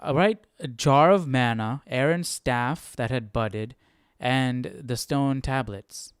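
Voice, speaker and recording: A man's voice: mid-range voice, takes it slowly, clean tone, dry recording, clean studio sound. A man hosting a live Bible study, thinking aloud in a casual, questioning, conversational way.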